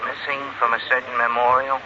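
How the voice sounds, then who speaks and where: An actor playing a furtive, pushy informant, terse and secretive, in a 1950s radio drama. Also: male voice, mid-range voice, level tone, brisk, natural voice. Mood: furtive, insistent. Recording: dull 1950s radio recording, faint noise.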